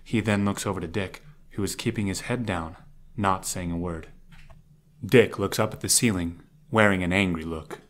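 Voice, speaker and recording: Male narrator, scripted audio drama scene description, slightly low register. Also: steady tone, moderate pace.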